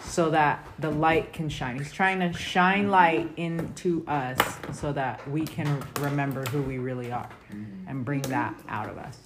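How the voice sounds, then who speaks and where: A woman with a fairly low voice leads a casual family scripture chat. She explains earnestly, with chatty asides, and some faint noise sits under the recording. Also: measured pace.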